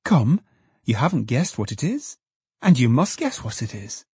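A man's voice: medium pitch, sing-song, moderate pace, darkish tone, breathy edge, no room sound, exaggerated voice. A man voicing a cheerful young woman, an affectionate, teasing daughter in a storybook, with playful exclamations. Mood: delighted, teasing.

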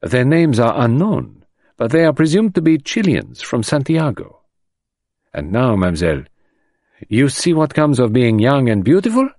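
Man playing an ironical detective, lively pitch. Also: moderate pace, no room sound, studio quality. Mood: evasive, courteous. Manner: gentle, ironical, emphatic. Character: middle-aged Belgian male detective, courteous, shrewd, gently ironical. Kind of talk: audiobook character voice.

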